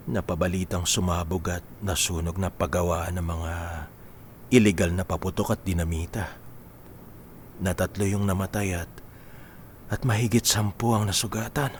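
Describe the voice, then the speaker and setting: A man's voice: deep voice, steady tone, a little slow, pauses often, slight background noise. A man acting in a Filipino radio drama as a poor, stubborn husband who justifies himself in a defensive, dismissive way. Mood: troubled, hesitant. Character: stubborn, self-justifying poor husband, unrepentant explosives seller.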